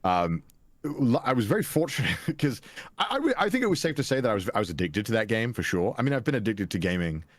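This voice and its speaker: A man's voice: fairly low pitch, very animated, fast, dry recording. A young man from Wales with a Welsh accent, chatting as an interview guest in a self-deprecating way with frequent restarts. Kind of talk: casual interview chat.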